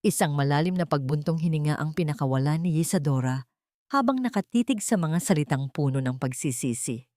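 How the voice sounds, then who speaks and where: A woman narrates a scripted romantic drama as an audiobook. Her voice sits low for a woman. The sound is close and dry, with no room echo. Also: animated, fairly quick, darkish tone.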